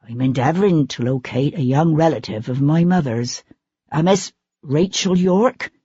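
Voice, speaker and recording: A narrator voicing a young ex-soldier man posing as a timid, apologetic gentleman, every sentence rising like a question. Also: medium pitch, moderate pace, slightly rough, no echo, lightly acted. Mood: meek, diffident. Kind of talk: audiobook character voice. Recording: slightly dull, dry lo-fi recording.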